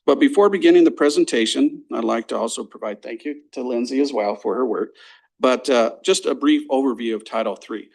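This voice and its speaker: Gravelly-voiced man presenting. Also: mid-range voice, darkish tone, rough recording. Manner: measured, reads from notes with fillers. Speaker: program coordinator. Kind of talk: formal presentation to a school board. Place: board meeting room.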